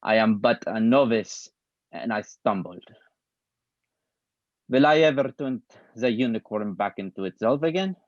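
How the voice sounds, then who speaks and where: A man reading the part of a calm male acting teacher at a play table read, speaking in a deliberate, lecturing way. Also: medium pitch, dry recording, lo-fi sound, close to an everyday voice.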